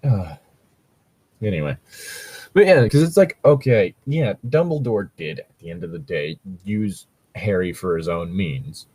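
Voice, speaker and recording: A man's voice: low voice, lively intonation, unhurried, darkish tone, slightly rough, dry recording. A man chatting casually as a co-host, explaining things in a rambling, sweary way. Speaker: co-host reading and discussing fan fiction. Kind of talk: casual chat and commentary.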